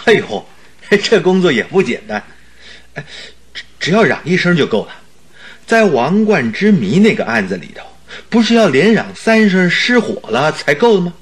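An actor playing a good-natured, slightly doubtful sidekick in a radio drama, with a husky voice and a questioning manner. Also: male voice, sits high, big pitch swings, normal speed, heavily acted. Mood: puzzled, doubtful. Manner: questioning, interjecting. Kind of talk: Chinese radio drama acting.

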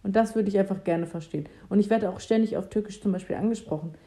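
A young woman talks in a loose, slangy, self-deprecating way, sounding easygoing. Her voice is very dark and mellow, at a middle pitch. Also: fast talker. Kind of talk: casual conversation.

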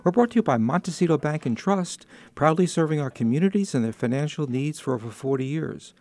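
A man's voice: a little high, lively intonation, fairly quick, darkish tone, no echo. A man with a New York accent hosting a radio interview, chatty and joking at his own expense. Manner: chatty, joking, self-deprecating. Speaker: radio host. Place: radio studio.

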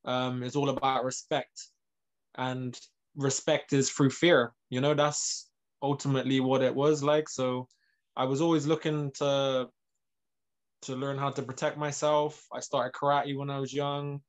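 A man's voice: medium pitch, flat delivery, measured pace. A man in his mid-thirties, from England and Northern Ireland, talking as a guest in a casual interview. His voice stays level, with little rise or fall, and his speech is loose and full of fillers. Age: 30s. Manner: loose, filler-heavy, lots of "like" and "you know". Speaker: guest, MMA fighter.

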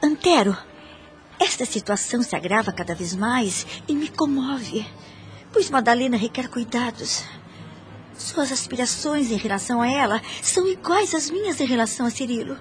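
Actress as a high-voiced scheming young noblewoman. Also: animated, normal speed, lightly acted. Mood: coaxing. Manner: theatrical, conspiratorial, formal. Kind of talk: radio soap opera acting. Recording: music under the voice.

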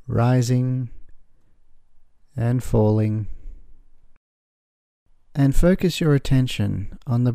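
A man narrating a guided meditation as the guide, in a gentle, soothing, measured way with long pauses. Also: medium pitch, gravelly, no room sound, clean studio sound.

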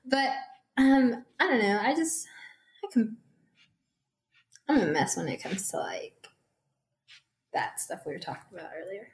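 A woman's voice: fairly high pitch, sing-song, unhurried, pauses often. A young woman chatting casually with friends, talkative and laughing easily. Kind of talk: casual chat. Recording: echoey room.

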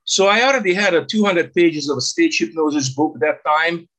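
An older man from Hungary with a Hungarian accent, telling stories casually as an interview guest in halting, non-native English phrasing. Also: on the high side, fairly bright tone, poor audio. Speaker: interview guest, stage hypnotist. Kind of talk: casual storytelling about own career.

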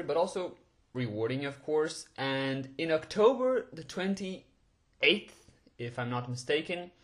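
Young man, twenties; chatty enthusiastic film vlogger, mid-pitched, quick chuckles and ums. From Portugal. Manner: enthusiastic, chuckling, with many fillers. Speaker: film reviewer. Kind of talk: casual movie review monologue.

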